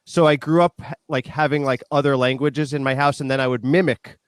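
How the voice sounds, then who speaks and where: A man's voice: mid-range voice, steady tone, a little fast, clean tone. A man chatting casually as an interview guest, talking in a rambling, joking way. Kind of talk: casual chat.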